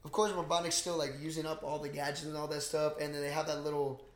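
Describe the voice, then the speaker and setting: Echoey, higher-voiced man chatting excitedly. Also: fast talker. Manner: slangy, profane, peppers talk with "bro". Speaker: podcast co-host. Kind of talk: casual, animated movie recap chat. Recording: echoey, roomy sound.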